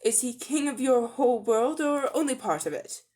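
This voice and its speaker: A woman's voice: sits high, normal speed, dark tone, pitched above the performer's own voice, lightly acted. A woman voicing a haughty, towering evil sorceress queen in a storybook, grand, commanding and contemptuous. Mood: imperious. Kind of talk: dramatic storybook reading.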